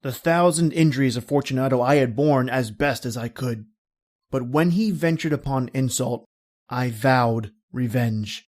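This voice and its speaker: A man playing a cold, cunning, vengeful nobleman who narrates a horror tale in a theatrical, deliberate, formal way. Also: medium pitch, a little mellow, natural voice. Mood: brooding, resolute. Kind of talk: dramatic horror storytelling in old radio manner.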